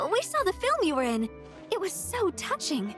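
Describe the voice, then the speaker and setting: An actress playing an excitable, chirpy young gaming enthusiast in a video game. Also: female voice, very high voice, takes it slowly, faint music behind, heavily acted. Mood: cheerful.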